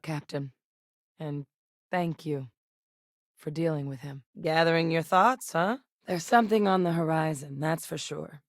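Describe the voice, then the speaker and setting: A composed, low-voiced woman scientist. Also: a little mellow, no echo, studio quality. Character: composed, earnest, duty-minded woman scientist in a space game. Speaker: video game voice actress. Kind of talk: scripted game character dialogue.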